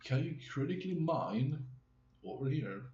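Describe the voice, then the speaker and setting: A man's voice: fairly high pitch, animated, mellow, dull audio. Casual male streamer in an echoey room. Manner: halting, rambling, thinking aloud. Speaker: live streamer. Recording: roomy echo, some background noise.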